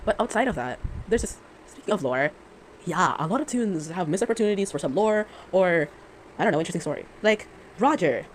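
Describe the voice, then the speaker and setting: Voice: mid-range voice, expressive, a little fast, poor audio. A person giving an unscripted, sarcastic fan rant, rambling with stammers and frequent self-corrections. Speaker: fan commentator. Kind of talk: unscripted opinion rant about a game. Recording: amateur recording with clear background noise.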